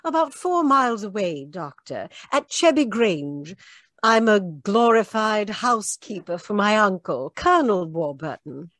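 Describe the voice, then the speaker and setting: A woman playing a clever, earnest Victorian gentlewoman with a formal, emphatic manner in an old-time radio mystery. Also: medium pitch, very animated, a little slow, dry recording, clean studio sound. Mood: wry, concerned.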